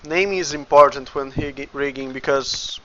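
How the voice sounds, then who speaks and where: A man's voice: mid-range voice, normal speed, fairly bright tone, lo-fi sound. A Brazilian man with a Brazilian Portuguese accent, a game developer casually talking through his work on a livestream and muttering each step to himself. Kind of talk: casual livestream, thinking aloud while working.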